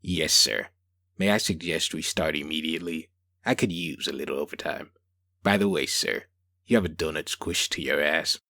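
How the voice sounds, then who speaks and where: A man voicing a polite, dutiful police partner in a comic dub, speaking in a dry, formal, deadpan manner. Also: extremely low pitch, moderate pace, vocal fry, dry recording, exaggerated voice.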